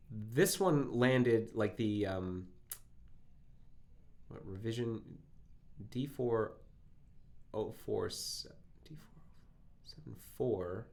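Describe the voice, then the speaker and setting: Male coding streamer, gravelly and low, phlegmy throat, halting think-aloud delivery. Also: a bit bright. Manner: halting, filler-heavy, self-correcting. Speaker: live coding stream host.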